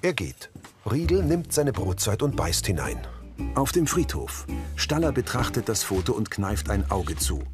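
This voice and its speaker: A male audio description narrator with a fairly low voice. He reads scripted scene descriptions with lively intonation, and music plays clearly behind him. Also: measured pace. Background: background music.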